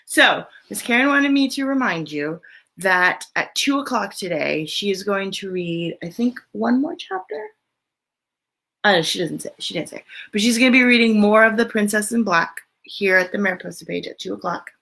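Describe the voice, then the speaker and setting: A woman hosting a children's story time, reading aloud and chatting informally, often thinking out loud as she goes. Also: medium pitch, animated, normal speed, creaky. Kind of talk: reading a picture book aloud, then casual chat to children.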